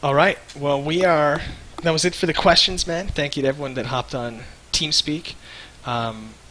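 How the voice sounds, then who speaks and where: A man's voice: a little high, steady tone, normal speed, bright, forceful tone, a little hiss or hum, poor audio. A man co-hosting a live stream in casual, jokey chat, rambling and searching for words. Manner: rambling, loose, jokey, hesitant.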